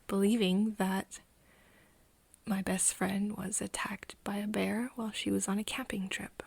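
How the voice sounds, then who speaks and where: A woman acting an earnest, wistful friend in an intimate ASMR roleplay, speaking softly and haltingly, often near a whisper. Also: medium pitch, little pitch movement, no room sound. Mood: wistful, sad. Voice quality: soft, breathy, often whispery. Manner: hesitant, halting, pausing often.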